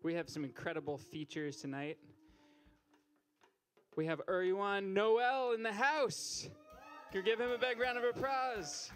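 A man's voice: high-pitched, unhurried. A young man hosting a poetry open mic on a small stage, teasing the crowd with stand-up style banter into a handheld mic. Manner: joking, teasing, rising to loud calls to the stage.

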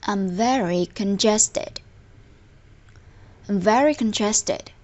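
A woman teaching a language in scripted narration, enunciating example phrases deliberately, word by word. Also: mid-range voice, darkish tone, highs cut off.

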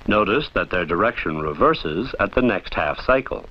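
A man reading scripted instructional narration for a training film in a formal, measured, didactic way, on an old film soundtrack. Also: fairly low pitch, animated, muffled recording.

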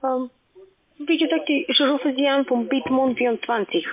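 A woman's voice: high-pitched, normal speed. A woman working as a call centre agent on a sales call, speaking with non-native grammar. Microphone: telephone. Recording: narrow tinny phone line.

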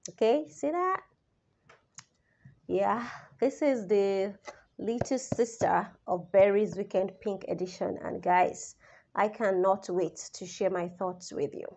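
A young woman reviewing a perfume in a casual vlog, slightly raspy as she recovers from the flu, talking chattily and straight to her viewers. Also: mid-range voice, takes it slowly. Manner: chatty and expressive, addresses viewers directly. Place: indoors, small room, seated. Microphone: camera in front, head-and-shoulders framing.

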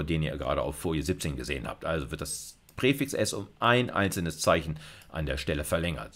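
A middle-aged man giving an informal video lecture, thinking aloud through a live coding demo and dropping in English technical terms. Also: deep voice. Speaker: university lecturer.

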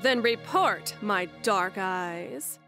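A woman voicing a proud, strong-willed queen in an animated comic dub. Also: medium pitch, drawn-out words, soft background music, full character voice. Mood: commanding.

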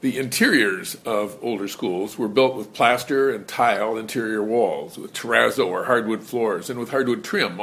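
Measured man narrating a lesson. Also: lowish voice, fairly bright tone, slight background noise. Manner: measured, explanatory. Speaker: presenter. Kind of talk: scripted narration.

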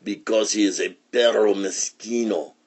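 A man's voice: on the low side, steady tone, measured pace, darkish tone, slightly rough. A man voicing dramatic little Chihuahua pals who exclaim urgently in a storybook. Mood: urgent, excited. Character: small Chihuahua amigos, dramatic dudes mixing in Spanish.

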